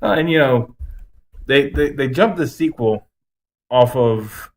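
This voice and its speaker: Easygoing man co-hosting, medium-pitched voice. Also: takes it slowly. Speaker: podcast co-host. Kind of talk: casual podcast chat.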